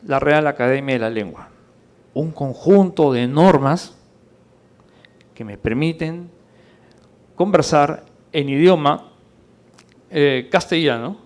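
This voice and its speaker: A man lecturing in public, didactic and rhetorical, with deliberate pauses, emphasis and questions to check that listeners follow. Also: medium pitch. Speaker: conference speaker.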